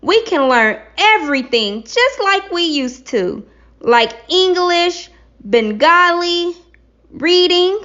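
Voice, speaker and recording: High, lilting, earnest schoolchild, read by a woman. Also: lively intonation, takes it slowly, pushed and bright, full character voice. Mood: eager. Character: earnest schoolchildren reciting lines in a classroom play. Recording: slightly dull lo-fi recording.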